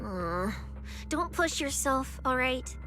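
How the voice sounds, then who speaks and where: A woman voicing an energetic, caring young girl companion in a video game. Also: sits high, slow, faint music behind, heavily acted. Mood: worried, caring.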